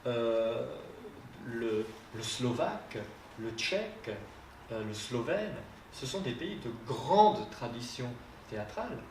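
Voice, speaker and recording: A man's voice: mid-range voice, very animated, slow, a little mellow, slight background noise. A man on a panel discussing theatre, hesitant, with many fillers and restarts. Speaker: translator. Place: theatre hall. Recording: roomy hall mic from a distance.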